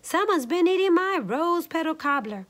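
Lady doing mama bear, kinda high, bossy and motherly, storybook character. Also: lively intonation, normal speed, a bit bright. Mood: indignant. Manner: emphatic, scolding. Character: middle-sized mama bear, stern and motherly.

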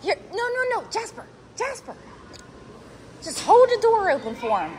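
A woman's voice: very high-pitched, big pitch swings, moderate pace, slight background noise. A young woman vlogging casually with her kids, chatty and laughing often, filming on a phone held at arm's length. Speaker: vlogger mom. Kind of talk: casual vlog chat. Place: car, parking lot and mall play area. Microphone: phone camera held at arm's length.

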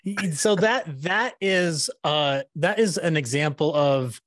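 A man, chatting casually in a halting, enthusiastic way into a close podcast mic. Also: on the high side, fairly bright tone, no echo. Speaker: podcaster, panel host. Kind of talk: casual chat. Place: small room at home, on a video call. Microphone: close podcast microphone on boom arm.

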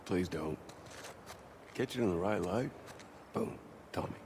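An actor playing a dry, laconic middle-aged Texan father figure, gruff but gentle, in a naturalistic video game style. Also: deep voice, animated, unhurried, slight background noise. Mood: wry amusement.

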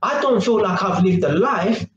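A man, talking to camera in a small kitchen. He speaks in a high, very animated voice and keeps dropping slang and "yeah?" to check you're with him. The room sounds echoey, with a faint hiss behind him. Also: normal speed, highs cut off. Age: 20s. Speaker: vlogger. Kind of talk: casual monologue to camera. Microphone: webcam at arm's length.

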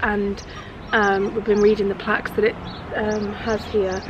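A woman's voice: mid-range voice, flat delivery, measured pace, lo-fi sound. A British woman in her thirties chatting casually to a handheld camera outdoors as a travel vlogger. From United Kingdom. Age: 30s. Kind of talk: casual vlog chat to camera. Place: outdoors on seafront paths and roadsides. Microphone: handheld camera at arm's length. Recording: loud outdoor wind and traffic noise.

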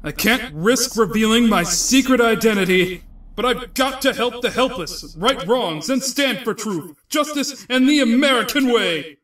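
A man's voice: very high voice, fairly bright tone, reverberant, recorded from a distance, full character voice. A man playing an earnest, self-dramatizing superhero with a secret identity in a comic-book audio drama, breathless and declamatory.

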